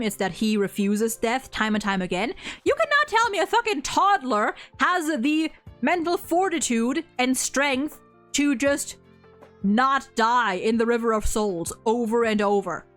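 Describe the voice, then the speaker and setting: Young woman, high voice, animated casual discussion, opinionated, music in the background. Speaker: video host reviewing a game.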